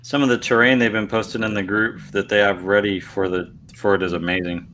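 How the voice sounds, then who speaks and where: A man's voice: lowish voice, level tone, brisk, a bit bright, a little hiss or hum. An American man co-hosting a podcast, bantering in easy casual chat. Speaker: podcast co-host.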